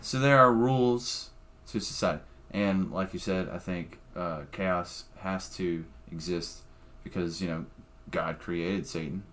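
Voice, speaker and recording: A man's voice: sits low, measured pace, fairly bright tone, a little hiss or hum. A man, a comedian guest on a podcast, talking casually in a blunt, profane, opinionated way.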